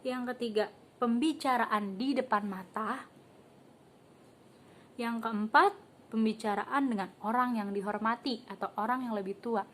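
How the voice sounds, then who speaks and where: Young woman, student teacher, slightly high voice, casual classroom lesson, quizzes students, calls on them by name. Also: a little hiss or hum. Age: young adult. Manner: casual filler words.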